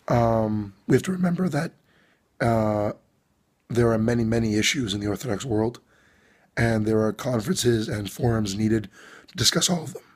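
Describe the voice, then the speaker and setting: A male priest with a hoarse, failing voice, giving a video blog address with many pauses and fillers. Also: mid-range voice. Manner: hesitant, many ums and uhs. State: hoarse, losing his voice. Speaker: Orthodox priest. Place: abroad on a trip.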